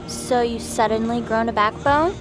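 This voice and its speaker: A woman's voice: fairly high pitch, normal speed, over music, lightly acted, poor audio. A woman playing a short-tempered feathered goddess in an audio drama, cold, mocking and curt, giving orders with scorn. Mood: scornful.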